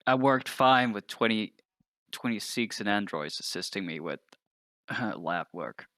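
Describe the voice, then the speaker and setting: Sour, sarcastic starship scientist played by a man, low-voiced and dry, grumbling tabletop roleplay. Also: expressive, measured pace, sharp tone, no echo. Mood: grumpy, sarcastic. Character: grumpy, foul-mouthed male ship's scientist who hates androids.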